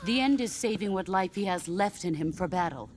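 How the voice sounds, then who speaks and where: An actress playing a stern, battle-hardened woman soldier in a video game, calm, grave and commanding. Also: lowish voice, slight background noise, lightly acted. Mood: grave, assured. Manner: grave, measured. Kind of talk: video game cutscene acting.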